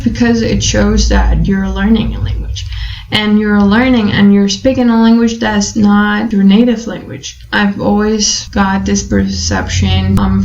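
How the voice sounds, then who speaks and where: A woman's voice: medium pitch, darkish tone, a little hiss or hum. A young Brazilian woman whose first language is Portuguese, thinking aloud in a casual webcam vlog with many fillers. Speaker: vlogger. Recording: echoey room, dull webcam audio.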